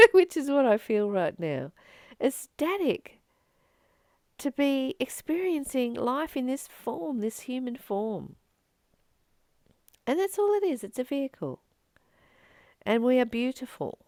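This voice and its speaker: A woman reading from a spiritual book and commenting on it informally, in an earnest, emphatic manner with deliberate pauses. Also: fairly high pitch, vocal fry, darkish tone, no echo, studio quality.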